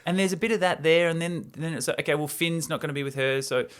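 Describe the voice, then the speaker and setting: A man's voice: on the high side, quick pace, a bit bright. A man hosting a show, retelling and commenting on a story in a casual, informal, explanatory way. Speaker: host retelling a film script.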